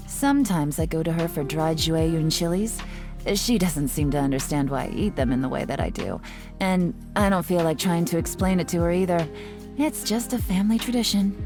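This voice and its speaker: A woman voicing a cool, shrewd, teasing intelligence agent in a video game, speaking in a composed, measured, sly manner. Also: lowish voice, natural voice. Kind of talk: scripted video game character monologue.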